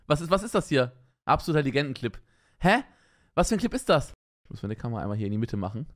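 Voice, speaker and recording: A man's voice: medium pitch, sing-song, fast. A young man streaming from his room, casually reacting to meme clips and laughing often, talking into a studio microphone. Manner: chatty, laughs often, easily startled. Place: streaming room with colored lights. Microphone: studio microphone in front, headphones on.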